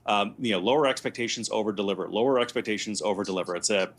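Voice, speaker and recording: Very fast-talking man with lowish voice. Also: breathy edge. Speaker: political panelist. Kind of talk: conversational political commentary.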